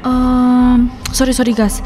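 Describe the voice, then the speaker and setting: Seorang wanita mengisi suara pendaki muda yang ketakutan tetapi terus bilang dirinya baik-baik saja. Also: female voice, on the high side, little pitch movement, slow pace, dark tone, soft background music. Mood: shaken. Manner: shaken, insisting she is fine. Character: frightened young female hiker. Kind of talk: animated horror story voice acting.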